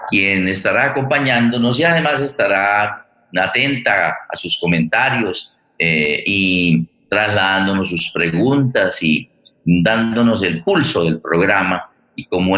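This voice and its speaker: A man with a Colombian accent hosting a streamed program, speaking formally in halting, wordy, deliberate phrases. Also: deep voice, pushed and bright. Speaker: host of a streamed theatre program. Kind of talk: formal spoken introduction. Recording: roomy echo on the voice.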